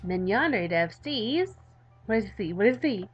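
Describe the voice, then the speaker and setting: A woman's voice: mid-range voice, big pitch swings, moderate pace, slightly rough, soft background music. A tired woman reads a visual novel aloud in a let's-play, dropping in informal, playful asides. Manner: informal, playful, self-interrupting.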